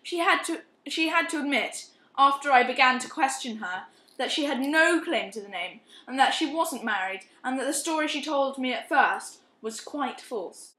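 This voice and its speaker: A haughty, middle-aged society matron acted by a woman in an amateur radio play. The voice is high and bright in an echoey room, imperious, self-righteous and quick to defend herself. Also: lively intonation. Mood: haughty, defiant. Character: haughty, unrepentant middle-aged upper-class charity matron. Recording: roomy, echoing amateur recording.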